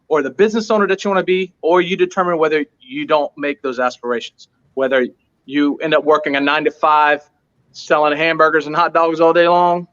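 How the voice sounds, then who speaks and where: Guest-interview read: high-pitched man, earnest and emphatic, hammering points through repetition, heard over muffled lo-fi audio. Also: fairly bright tone. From United States. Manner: emphatic, repeats points for stress. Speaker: restaurant owner, interview guest. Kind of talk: earnest motivational storytelling.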